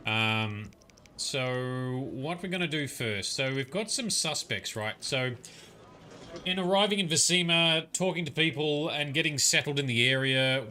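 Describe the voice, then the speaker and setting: A man's voice: mid-range voice, pushed and bright. A young man casually narrating a game on a livestream, chatting with viewers and answering their comments. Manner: chatty, reads and answers chat comments, frequent "um"s.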